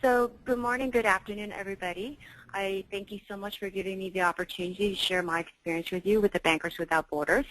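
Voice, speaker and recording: A woman on a webinar panel, dialing in by phone and introducing herself in a steady, friendly way. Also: medium pitch, fast, poor audio. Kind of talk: casual talk on a webinar. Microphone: phone dial-in.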